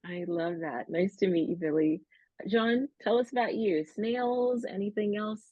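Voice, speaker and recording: Woman hosting an online panel, mid-pitched, kinda dull compressed audio. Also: measured pace, warm tone, no room sound. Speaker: host.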